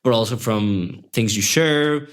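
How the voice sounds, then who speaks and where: A man's voice: fairly low pitch, takes it slowly, smooth. Friendly young man, reflective. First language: Spanish. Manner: friendly and reflective, with many restarts and fillers. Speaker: interview guest. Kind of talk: casual interview conversation.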